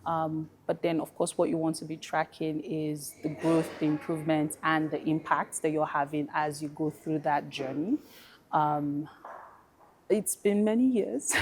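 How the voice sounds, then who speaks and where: A woman's voice: sits low, a little mellow, a little hiss or hum. A woman with a Nigerian accent, an easygoing panel guest who talks about her work and life with light laughs. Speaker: fintech founder, panel guest. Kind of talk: relaxed panel conversation.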